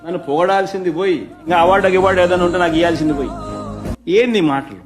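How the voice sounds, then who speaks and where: A man's voice: sits high, very fast. A man making political remarks in a clip with music laid over it.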